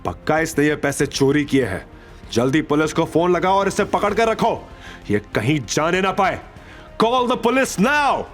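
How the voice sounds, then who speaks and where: A man's voice: on the high side, big pitch swings, unhurried, fairly bright tone, faint music behind, pitched above the performer's own voice. A man voicing a suspicious, officious male sales manager who accuses and threatens in an audio drama. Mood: outraged.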